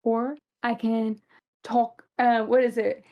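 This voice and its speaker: A woman's voice: a little high, little pitch movement, slow pace, highs cut off. A young woman with a Southern US accent co-hosting a casual fan podcast, chatty and rambling with many fillers. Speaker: podcast co-host.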